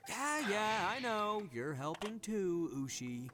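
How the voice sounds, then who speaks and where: A man's voice: high voice, sing-song, slow, music in the background, full character voice. A man playing a brash, good-hearted young oni gang leader in a video game, boisterous and colloquial. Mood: casual, blustering. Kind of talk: English video game voice acting.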